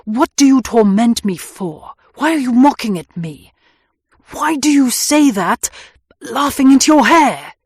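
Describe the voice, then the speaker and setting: A woman voicing an anguished young man on the run, who swings from vehement outbursts to hushed, tender pleading in a husky, breathy voice. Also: fairly low pitch, slow, dry recording, clean studio sound, full character voice. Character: anguished young man, hunted fugitive, passionate and brooding.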